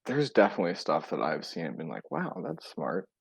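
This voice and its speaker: A man from Illinois with a slight Chicago accent, co-hosting a podcast, measured and a bit defensive in casual chat. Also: low-pitched, huge pitch swings, creaky, slightly rough, no echo. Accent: Chicago area. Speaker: podcast co-host.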